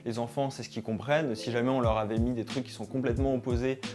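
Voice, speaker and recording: A man's voice: mid-range voice, steady tone, fast talker, a little mellow, faint music behind. A young man hosting a conversational video essay, speaking informally and straight to his viewers. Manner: informal, addresses viewers directly.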